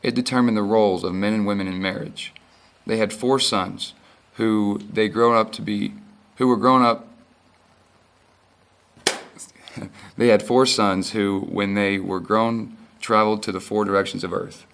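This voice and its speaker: A young man student reading a creation myth aloud for a class, steady with occasional stumbles. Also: fairly low pitch, measured pace, vocal fry, pauses often. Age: young adult. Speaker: student reader.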